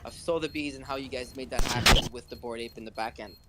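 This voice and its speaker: A man's voice: mid-range voice, steady tone, fast talker, very noisy. A man hosting a live audio chat, putting questions to his guests. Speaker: host.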